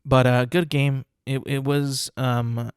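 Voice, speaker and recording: Brief: young male podcast host, stuffy nose, loose rambling delivery full of fillers, mid-pitched voice, dry close sound. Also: little pitch movement, slow pace, smooth, no room sound. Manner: rambling, many fillers and restarts. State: congested, sniffling. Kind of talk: casual solo podcast talk.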